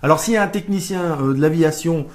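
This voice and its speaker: A man's voice: on the high side, fast. A man co-hosting a live stream as a life coach, chatting casually, joking and searching for his words. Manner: jokey, searching for words, playful put-on slang.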